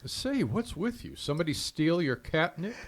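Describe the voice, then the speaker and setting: An actor playing a flippant, wisecracking private detective in an old-time radio drama, sarcastic and quipping. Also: male voice, a little high, big pitch swings, normal speed. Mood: teasing. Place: live stage performance in a hall.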